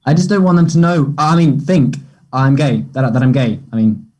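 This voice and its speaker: Anxious young man, husky and soft-toned an actor reading. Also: mid-range voice, expressive, lightly acted. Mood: distressed, ashamed. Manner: hesitant, halting delivery. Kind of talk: play table read.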